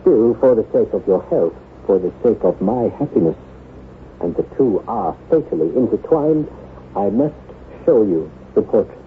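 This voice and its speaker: A thin, noisy vintage radio recording carries a man acting as a haunted nobleman husband. He speaks formally and gravely, with halting pauses and wide, theatrical swings of pitch, devoted and anguished. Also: expressive, close to an everyday voice. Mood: tender, tense. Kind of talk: old-time radio drama acting. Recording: noisy, narrow-band vintage radio broadcast.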